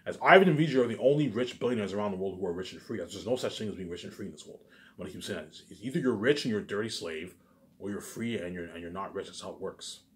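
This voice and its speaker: A man's voice: fairly low pitch, fast talker. A man giving a rambling, informal monologue, repeating himself emphatically, swearing and restarting his phrases. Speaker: vlogger.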